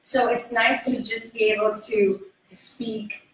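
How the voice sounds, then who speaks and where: A woman's voice: fairly high pitch, flat delivery, slow pace, slight background noise. A woman, an actress, talking about her auditions in an easygoing panel chat. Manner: easygoing, laughs readily. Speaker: actress in the film. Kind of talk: casual panel discussion. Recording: echoey room, mic at a distance.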